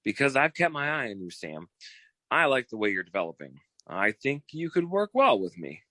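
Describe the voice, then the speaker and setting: A man's voice: mid-range voice, sing-song, moderate pace, bright, forceful tone, no room sound. Guy acting as a showy cocky private eye, old time radio style, very swoopy delivery, dry close sound. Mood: earnest pitch. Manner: boastful, wheedling, showy. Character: cocky, theatrical fast-talking male private detective eager for partnership. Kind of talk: 1940s radio detective comedy acting.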